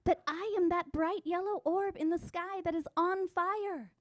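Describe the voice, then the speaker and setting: A woman's voice: very high voice, fairly even intonation, a little slow, dry recording, clean studio sound. A woman playing a vain, lovestruck, dramatic thirteen-year-old noble maiden in a comic mock-Shakespearean monologue with modern slang. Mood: exasperated, wailing. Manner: theatrical, exaggerated, indignant.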